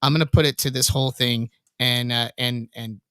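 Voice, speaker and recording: A man's voice: fairly even intonation, brisk, fairly bright tone, smooth, no echo, studio quality. Casual male podcast host with a tenor voice. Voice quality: self-described high tenor voice. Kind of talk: casual podcast chat about own experiences.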